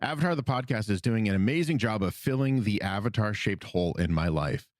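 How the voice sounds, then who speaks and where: Animated low-voiced man co-hosting a podcast. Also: dry recording, studio quality. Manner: animated, quick pitch jumps, laughs often. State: recovering from a cold. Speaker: podcast co-host. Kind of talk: casual podcast chat.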